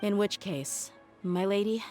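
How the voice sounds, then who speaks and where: A woman's voice: medium pitch, a little slow, music in the background, natural voice. A woman playing a proud, independent and loyal young mercenary in a video game, speaking frankly and firmly. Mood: earnest.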